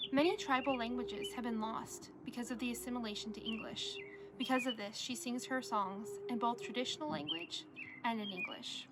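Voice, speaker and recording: Noticeable ambient noise sits behind a young woman's voice, which is on the high side. She reads a prepared essay aloud like a student presenting her work. Her delivery is earnest and measured. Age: young adult.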